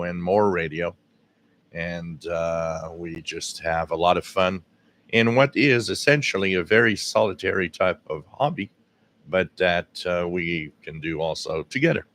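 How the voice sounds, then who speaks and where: A man from Quebec, Canada, hosting a casual live radio-hobby stream with a scratchy, hoarse voice, rambling with lots of fillers, recorded on a directional mic at home. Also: sits low, a little slow, a bit bright. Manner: rambling, many fillers and restarts. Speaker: radio hobby livestream host. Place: home radio room with air conditioning running. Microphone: directional microphone.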